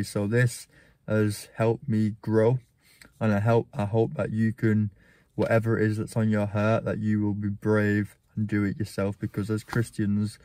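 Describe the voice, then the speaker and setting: A man's voice: fairly low pitch, dark tone, no room sound. A man, earnestly talking to a phone camera from inside a parked car, with frequent ums. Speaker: church vlogger. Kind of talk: reflective vlog to camera. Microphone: phone camera at arm's length.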